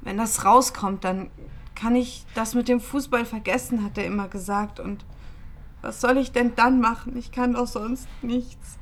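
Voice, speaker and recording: High-voiced grieving young woman in drama. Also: fairly even intonation, measured pace. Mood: subdued, sad. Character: grieving young woman, loyal confidante of a dead friend. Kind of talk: dramatic film dialogue.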